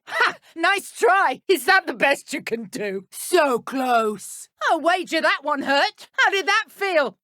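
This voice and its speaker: A cruel British dark witch from a fantasy video game. She is haughty and contemptuous, taunting as she goes, and her pitch swings widely. The recording is close and completely dry. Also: dry recording, exaggerated voice. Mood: gloating, taunting. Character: cruel, sneering female dark wizard henchwoman, adult. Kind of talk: video game combat and idle voice lines.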